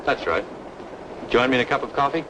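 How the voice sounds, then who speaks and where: A man's voice: expressive, fairly quick, natural voice. An actor playing a guarded, moody man with a curt, offhand manner in an old-time radio drama. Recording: noisy old radio recording, vehicle rumble.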